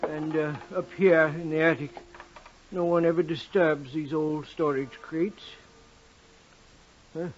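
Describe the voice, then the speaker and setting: An actor playing a gruff, laconic janitor with a folksy, deadpan manner in an old-time radio drama. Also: male voice, fairly high pitch, slow, pauses often. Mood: gruff, obliging. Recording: muffled, noisy old radio recording with clicks.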